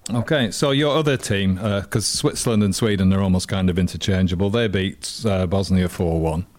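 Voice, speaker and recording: A man's voice: low-pitched, moderate pace, darkish tone, no echo. A middle-aged man co-hosting a casual football radio chat, teasing his co-host in a chatty, wry way.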